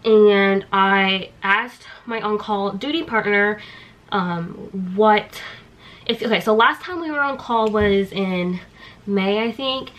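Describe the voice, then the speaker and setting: A young woman chatting casually to camera in a small dorm room, animated and quick with asides. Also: medium pitch, highs cut off. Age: young adult. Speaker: vlogger. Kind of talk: casual vlog chat to camera. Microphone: handheld camera close up.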